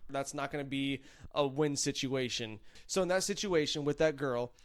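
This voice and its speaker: A man's voice: medium pitch, moderate pace, clear, resonant tone. A man co-hosting a comedy podcast, bantering casually with emphatic, repetitive points. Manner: emphatic, repeats himself, acts out dialogue. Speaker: podcast co-host.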